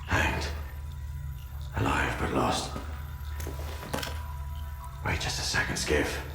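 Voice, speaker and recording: A grave, embittered zealot soldier in a video game, deep and slow. He speaks solemnly in heavy echo, with music clearly underneath. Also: heavily acted. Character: solemn, embittered male zealot soldier mourning his lost faith. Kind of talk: solemn dramatic game monologue.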